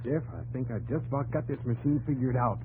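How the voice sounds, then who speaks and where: An American actor playing an earnest, determined farm inventor who argues insistently, in a 1950s radio drama. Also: male voice, mid-range voice, fast, narrow, tinny audio, natural voice. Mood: friendly. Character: eager, determined young farm inventor defending his machine. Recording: noisy, humming old radio recording.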